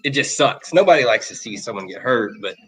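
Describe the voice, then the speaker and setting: A man's voice: mid-range voice, animated, brisk, a crowd in the background, rough recording. A man chatting as a guest fan, easygoing and joking. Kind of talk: casual chat.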